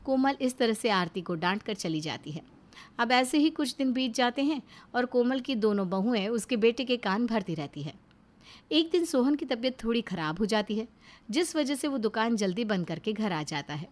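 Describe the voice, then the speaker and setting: Higher-pitched woman storyteller. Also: animated, normal speed. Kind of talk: scripted story narration.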